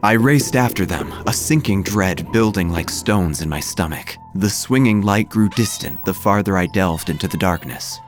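A man playing a quirky investigator who narrates his own tale in a theatrical, deadpan, wry way. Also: low-pitched, normal speed, hardly any pauses. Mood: ominous, melodramatic. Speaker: narrator and lead actor of an audio drama. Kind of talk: scripted first-person noir-like narration. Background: music.

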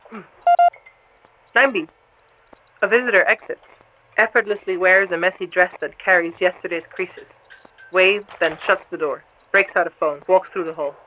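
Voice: medium pitch, moderate pace, long pauses, bright, forceful tone, lightly acted. A performer voicing a watchful surveillance camera in an audio drama, narrating in clipped, terse fragments. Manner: clipped, terse, observational phrases. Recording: lo-fi, very noisy intercom-like sound.

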